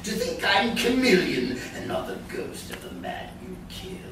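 High, cackling goblin supervillain. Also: male voice, big pitch swings, normal speed. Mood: sly, taunting. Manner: mock-courteous, theatrical. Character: cackling theatrical male goblin supervillain, gleefully taunting. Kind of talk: live staged radio play reading. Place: large hotel conference room with seated audience. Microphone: distant audience camera. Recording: echoey noisy room, recorded from far off.

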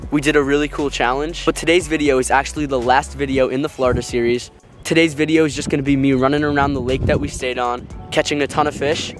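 A man's voice: medium pitch, fairly even intonation, fast talker, soft background music. A young American man talking casually and slangily to viewers in an outdoor fishing vlog. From northern United States. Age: young adult. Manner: informal, slangy, addresses viewers. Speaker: fishing vlogger, host. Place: outdoors, lawns and lakeside. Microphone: handheld or body-worn action camera.

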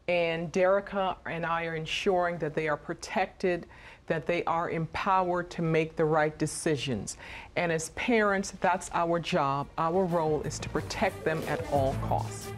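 Calm low-voiced woman, studio talk. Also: a little slow, faint music behind. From Trinidad and Tobago. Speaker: missing persons advocate. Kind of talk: direct-to-camera personal talk. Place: studio stage.

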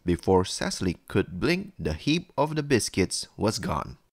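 Low, slow-paced male bedtime storyteller. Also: very animated, no room sound, studio quality. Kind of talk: scripted bedtime story narration for children.

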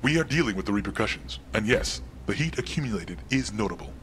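Brisk, formal, low-voiced henchman. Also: a little hiss or hum. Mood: dry, measured. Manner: formal, clipped, deadpan. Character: unflappable, formal male right-hand man to crime boss. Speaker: crime boss's adviser. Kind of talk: cinematic game dialogue.

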